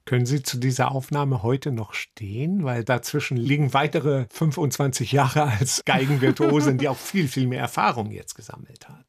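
Gravelly-voiced male radio host. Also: mid-range voice, no echo, clean studio sound. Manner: measured, polite questions. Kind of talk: radio interview. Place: radio studio.

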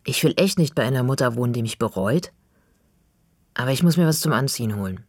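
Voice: mid-range voice, lively intonation, quick pace, no echo, studio quality. Ungeduldiger Teenagerjunge vom Vorleser gesprochen, hastig, mittlere Tonlage, rauchig. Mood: restless. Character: stubborn teenage boy, impatient and hurt. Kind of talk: audiobook reading.

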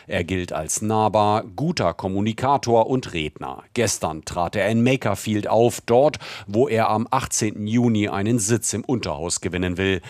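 A man's voice: fairly low pitch, moderate pace, few pauses, darkish tone, no room sound, studio quality. A man narrating a scripted news report as a correspondent.

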